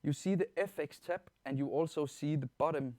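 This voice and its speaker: A man with a Danish accent giving an informal software tutorial as a webinar presenter, explaining things step by step in a reassuring way. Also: on the high side, a little fast, dry recording. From Denmark. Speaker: webinar presenter, product trainer.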